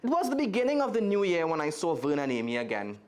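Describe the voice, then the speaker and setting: A man's voice: fairly high pitch, huge pitch swings, quick pace, a little mellow. A man with a Trinidadian accent reading a children's story aloud expressively and speaking directly to young listeners. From Trinidad and Tobago. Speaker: guest storyteller.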